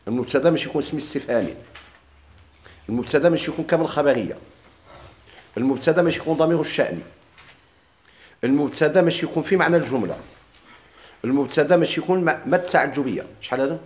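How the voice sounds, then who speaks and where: Man, Moroccan accent, grammar teacher, fast delivery, slightly high voice, quizzes the class. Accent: Moroccan Arabic. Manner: drawls quoted examples slowly, quick plain explanations; asks students questions and repeats their answers. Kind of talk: classroom lesson, questioning students.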